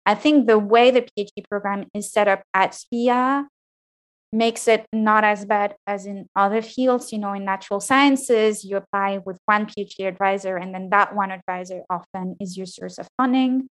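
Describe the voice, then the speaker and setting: Woman in her thirties, high voice, interview guest, frank and reflective, restarts often. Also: moderate pace. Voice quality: fairly high-pitched voice. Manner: thoughtful, frank, with frequent restarts. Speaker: interview guest, PhD graduate.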